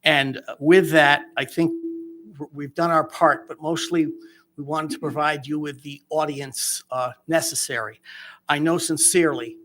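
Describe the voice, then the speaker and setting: A man chairing a town council meeting, speaking earnestly and haltingly with many fillers. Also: a little high, steady tone, fairly bright tone.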